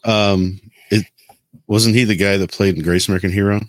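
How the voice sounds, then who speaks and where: A man with a deep, low voice chats casually as a podcast co-host. He is dry and wry in his remarks, and the recording is close, with very little room sound. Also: steady tone, brisk, no echo, low-quality recording.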